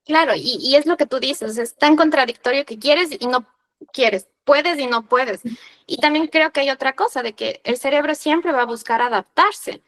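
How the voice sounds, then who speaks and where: A woman's voice: sits high, moderate pace, a bit bright. A woman from Ecuador with a Quito accent, speaking in earnest, reflective casual chat.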